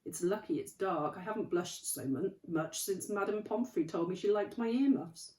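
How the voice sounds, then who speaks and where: A woman voicing a calm, gentle old male wizard headmaster who speaks unhurriedly. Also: lowish voice, moderate pace, lightly acted. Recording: echoey room, distant mic.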